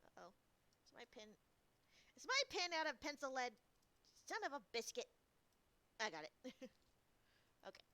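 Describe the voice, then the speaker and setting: A woman's voice: high-pitched, big pitch swings, normal speed, pauses often, bright, forceful tone. A woman streaming a game playthrough, commenting casually and talking to herself in a giggly way.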